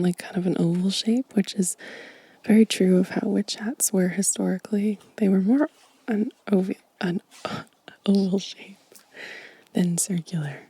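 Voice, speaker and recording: A woman speaking in a soft, breathy whisper, gently and intimately, as in an ASMR show and tell close to the microphone. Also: mid-range voice, no room sound. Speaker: host of an ASMR channel.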